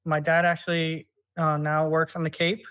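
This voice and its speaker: A young American man telling stories in a relaxed way with lots of "uh"s as a podcast guest. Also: a little high, normal speed, no echo. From United States. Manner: relaxed storytelling with many fillers. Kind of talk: casual conversation.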